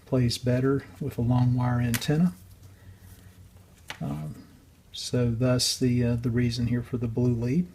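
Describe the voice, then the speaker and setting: A man's voice: mid-range voice, little pitch movement, unhurried, warm tone, a little hiss or hum. A man giving a casual, unscripted workbench walkthrough in a hesitant, matter-of-fact way. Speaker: radio restorer and video host.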